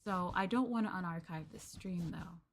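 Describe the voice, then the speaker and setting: A woman's voice: fairly low pitch, normal speed, slight background noise. A woman streamer chatting casually with her viewers, addressing them as Masters and filling her talk with ums and likes. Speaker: VTuber streamer.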